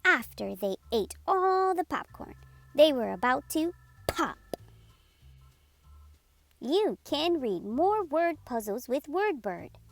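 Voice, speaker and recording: A woman narrating a children's read-aloud in a deliberate, sing-song way as storytime host. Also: high voice, very animated, vocal fry.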